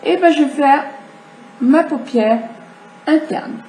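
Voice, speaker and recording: High-voiced woman with a cold, chatty. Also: slow pace, warm tone. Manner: chatty, explanatory, addresses viewers directly. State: has a cold. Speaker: makeup tutorial host. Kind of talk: casual makeup demonstration. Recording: echoey room with faint background hiss.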